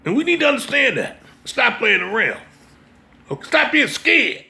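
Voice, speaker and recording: High-pitched, gravelly American man preaching emphatically. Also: big pitch swings, moderate pace, pushed and bright. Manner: emphatic, exhortative, repeatedly checking listeners understand. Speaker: religious teacher. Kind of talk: impromptu sermon with brief reading.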